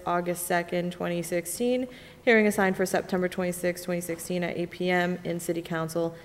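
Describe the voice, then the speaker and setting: Woman, lowish voice, clerk, formal reading of legal notices, reverberant chamber. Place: large council chamber.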